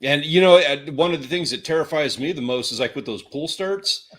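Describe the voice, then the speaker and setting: A man's voice: fairly high pitch, brisk, a bit bright. A man with a Texan accent chatting casually as a livestream co-host, joking and rambling through stories. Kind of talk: casual chat about fishing and outdoors.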